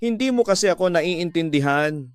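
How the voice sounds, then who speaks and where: A man's voice: fairly high pitch, animated, moderate pace, soft background music, voice pushed up, lightly acted. A man voicing a grieving widowed mother in a radio drama, wailing and pleading in despair.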